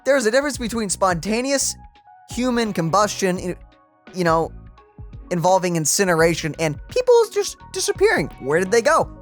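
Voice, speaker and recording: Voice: mid-range voice, big pitch swings, moderate pace. A let's play streamer reading game text aloud and adding casual commentary. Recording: game music in background.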